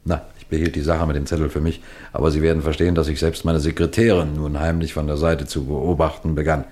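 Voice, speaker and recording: An actor playing a seasoned police detective in a radio crime drama, measured, courteous and building suspense as he tells his story. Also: a bass voice, level tone, a little mellow. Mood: suspenseful recounting. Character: seasoned, composed male detective superintendent telling his story.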